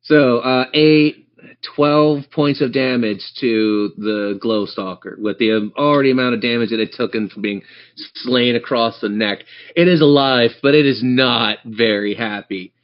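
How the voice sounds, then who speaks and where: Guy running a tabletop rpg, encouraging with players, medium pitch, kinda muffled recording. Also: a little slow. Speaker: game master. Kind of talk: narrating and refereeing a tabletop game.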